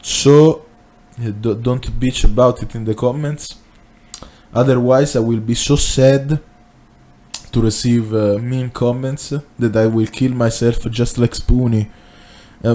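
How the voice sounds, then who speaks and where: A man plays a tipsy narrator of a comic story with a wobbly fake Italian accent. He rambles slowly and haltingly. The tone is self-pitying and mournful. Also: mid-range voice, dark tone, natural voice. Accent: put-on Italian.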